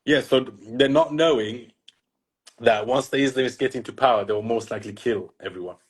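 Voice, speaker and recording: A man's voice: mid-range voice, a little fast, slightly rough, low-quality recording. A man from Iran who grew up there, speaking as a guest on a call, emphatic and opinionated, stretching key words. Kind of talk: opinionated casual interview talk. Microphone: phone on a video call.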